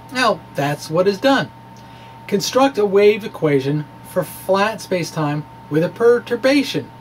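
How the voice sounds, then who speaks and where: Male amateur science presenter, high voice, informal and halting blog reading, slight background hum. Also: poor audio. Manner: informal, folksy, halting explanations. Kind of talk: dramatic reading of a physics blog, conversational asides.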